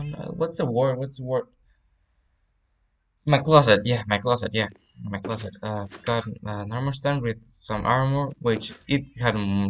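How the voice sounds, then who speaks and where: A man with a Spanish accent, a native Spanish speaker, giving a casual game tour and often pausing to search for words. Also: fairly low pitch, a bit bright. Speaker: let's play creator.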